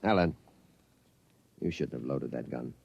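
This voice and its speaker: A man's voice: rumbling low, measured pace, gravelly, darkish tone, breathy edge, full character voice. An actor playing an affable, trusting middle-aged lawyer and father in a 1950s radio drama, genial and slightly hesitant. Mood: exasperated. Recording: muffled vintage radio broadcast recording.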